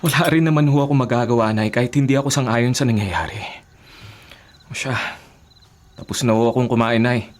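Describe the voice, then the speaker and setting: An actor playing a dutiful, principled young working son with a Filipino accent in a radio drama, respectful but firm. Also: male voice, mid-range voice, brisk, long pauses, natural voice. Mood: quiet disapproval.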